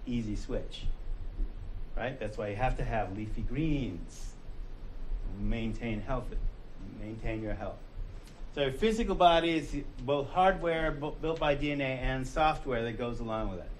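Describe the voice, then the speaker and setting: American man lecturing in a relaxed, conversational way. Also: mid-range voice, a little slow, a little hiss or hum, rough recording. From United States. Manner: conversational, frequent rhetorical "right?", acts out inner voices. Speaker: college instructor. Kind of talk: classroom lecture. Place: classroom.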